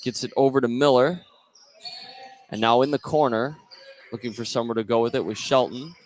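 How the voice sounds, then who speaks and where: A man doing live play-by-play radio commentary of a high school basketball game. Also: fairly even intonation, a little fast. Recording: noisy gym ambience behind the voice.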